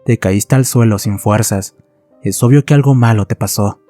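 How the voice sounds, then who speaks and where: A man's voice: lowish voice, normal speed, mellow, soft background music, lightly acted. A man voicing a worried teenage son, earnestly reproaching his mother in a horror story. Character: worried sixteen-year-old son scolding his mother.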